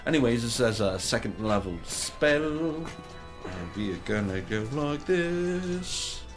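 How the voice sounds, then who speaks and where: A man's voice: medium pitch, animated, takes it slowly. A man playing a tabletop game, talking casually through his turn at the table. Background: music.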